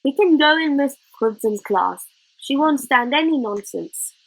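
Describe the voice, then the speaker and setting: A woman voicing a stern male head teacher in a storybook, brisk and commanding. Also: high-pitched, animated, low-quality recording. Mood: doubtful, brisk.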